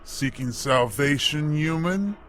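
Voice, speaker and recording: An actor playing a skinny, suspicious vampire doorkeeper in an audio drama, speaking in a drawn-out, menacing way. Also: male voice, on the high side, animated, fairly bright tone, faint music behind. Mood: sinister, challenging.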